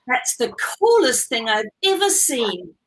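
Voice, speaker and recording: A woman's voice: fairly high pitch, sing-song, takes it slowly, a bit bright, full character voice. A woman voicing a doting, easily appalled mother of a toddler in a children's picture book, with dramatic exclamations. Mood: amazed.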